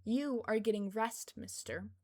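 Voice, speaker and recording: A woman performs a brusque woman soldier in an audiobook story. The voice is on the high side, bossy and scolding, with a protective streak underneath the orders. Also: unhurried, darkish tone. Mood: firm, protective. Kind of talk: fan fiction audiobook character dialogue.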